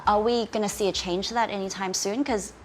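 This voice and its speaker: A woman's voice: medium pitch, fairly even intonation, brisk, slight background noise, rough recording. A woman hosting a food interview, asking loose, chatty questions with fillers. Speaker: host.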